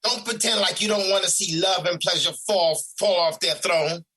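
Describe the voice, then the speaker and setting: A man playing Resentment as a personified emotion in an audio drama, scheming and manipulative, with a husky, insinuating, theatrical delivery. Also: high voice, normal speed, bright, forceful tone, full character voice. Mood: accusing.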